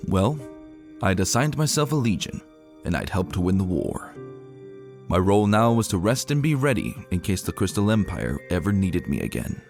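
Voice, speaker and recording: A man reading a scripted first-person story in an expressive, reflective manner, as a dramatic narrator. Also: low-pitched, moderate pace, faint music behind.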